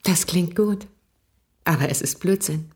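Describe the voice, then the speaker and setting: An actress playing a candid, gently skeptical young married woman in a radio play, speaking in a measured, direct, quietly ironic way. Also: fairly low pitch, close to an everyday voice. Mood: dryly skeptical. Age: young adult.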